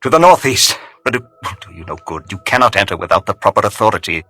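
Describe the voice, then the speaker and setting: An actor voicing a timid, servile butler in a video game, husky and pleading, with a nervous stammer. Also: male voice, on the low side, big pitch swings, quick pace, pushed and bright, no echo, soft background music, exaggerated voice. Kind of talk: theatrical video game voice acting.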